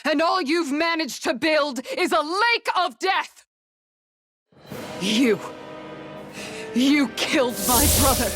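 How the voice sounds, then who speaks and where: Earnest, high-voiced warrior heroine. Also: female voice, lively intonation, slow pace, long pauses, fairly bright tone, soft background music, heavily acted. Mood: anguished rage. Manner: earnest, intense, righteous. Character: determined warrior woman grieving brother, righteous and stern. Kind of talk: dramatic video game acting.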